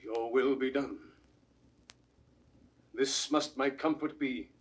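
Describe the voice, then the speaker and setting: A man's voice: mid-range voice, steady tone, slow pace, close to an everyday voice. An actor playing a proud, defiant banished nobleman, declaiming Shakespearean verse firmly. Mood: proud acceptance. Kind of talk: 1960s classical Shakespearean verse acting.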